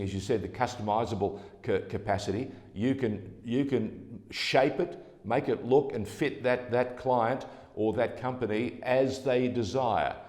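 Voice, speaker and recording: A man's voice: fairly low pitch, a little mellow. A man hosting a business interview in a broadcast style, recorded in an echoey, reverberant space. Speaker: interview host. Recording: echoey room with long reverb.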